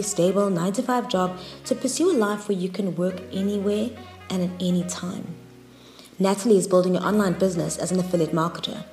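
A woman's voice: medium pitch, a little mellow. A woman hosting a podcast, reading a scripted intro in an upbeat, inviting way. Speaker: podcast host. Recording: echoey with music behind.

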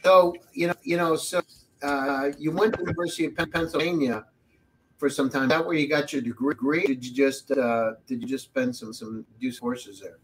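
A man's voice: on the high side, expressive, normal speed, a little hiss or hum, poor audio. A man hosting an interview over a video call, often repeating and restarting words as he asks questions. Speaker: podcast host.

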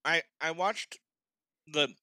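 A young man from Boston talking as a podcast guest, with a very bright, smooth, clear voice recorded dry and close. He tells stories with energy and laughs often. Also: fairly high pitch, slow pace, dry recording. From Boston, Massachusetts. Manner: animated storyteller, laughs often. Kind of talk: casual chat.

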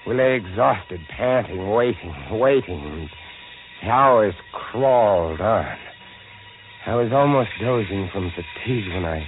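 An actor playing a desperate, weary lighthouse keeper, narrating dramatically and deliberately in old-time radio horror style. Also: male voice, fairly low pitch, expressive, music in the background. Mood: tense, exhausted. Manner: dramatic, deliberate, hushed to urgent swells. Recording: tinny, band-limited vintage radio recording.

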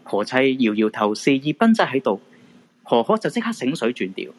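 A man's voice: mid-range voice, very animated, moderate pace. A man with a Hong Kong Cantonese accent narrating a radio drama in a dramatic scripted storytelling style.

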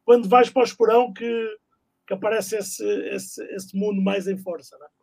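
High, gravelly host. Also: male voice, fairly even intonation, a little fast, a little mellow. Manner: stammering repeated words. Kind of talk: casual interview.

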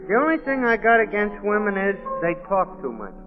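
An American actor playing a simple, good-natured, curious bartender in a folksy, puzzled 1940s radio style. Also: male voice, sits high, sing-song, brisk. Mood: wry. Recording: tinny old radio recording, reverberant, music behind.

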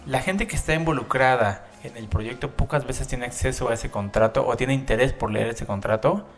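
A Mexican man with a middle-pitched voice lays out his point in a calm, measured way as a podcast co-host. He speaks plainly and steadily. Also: soft background music. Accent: Mexican Spanish. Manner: explanatory, measured. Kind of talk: casual chat.

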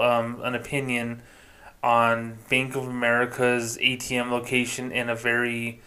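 A man hosting a solo podcast, talking casually in a self-described monotone and swearing freely. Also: medium pitch. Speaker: podcast host.

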